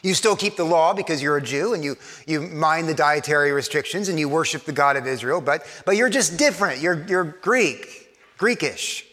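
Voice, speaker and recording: Fast-talking pastor from Texas in a hall. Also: male voice, a little high, pushed and bright. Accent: faint Texan, worn by years in New York. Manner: fast-talking, repeats words as he restarts. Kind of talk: sermon.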